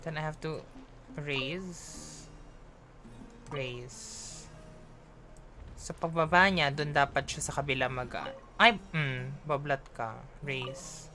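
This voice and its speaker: Low-voiced Filipino woman streaming a game. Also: slow pace, pauses often. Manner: playful, reactive, switches between English and Tagalog. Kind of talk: casual live game commentary. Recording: loud game music behind. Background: game music.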